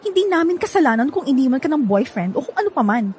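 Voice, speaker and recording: A woman's voice: sits high, sing-song, fast talker, darkish tone, slight background noise. A woman with a Filipino accent playing a defiant young student in a radio drama. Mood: defiant, annoyed. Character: defiant young female student standing up for herself.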